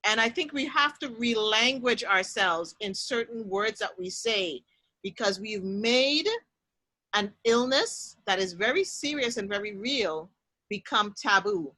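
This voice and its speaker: A woman's voice: on the high side, lively intonation, a little slow, pushed and bright, no echo, poor audio. A woman from Trinidad with a Trinidadian accent, hosting a panel discussion and speaking earnestly and emphatically.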